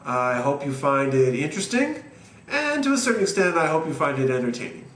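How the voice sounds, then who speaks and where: A man's voice: fairly high pitch, very animated, brisk, a bit bright. A man in his forties from Canada, talking earnestly and reflectively to camera about his own life, with frequent ums and uhs. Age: 40s. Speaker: vlogger telling his story. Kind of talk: personal talk to camera. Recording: echoey room with faint ambient noise.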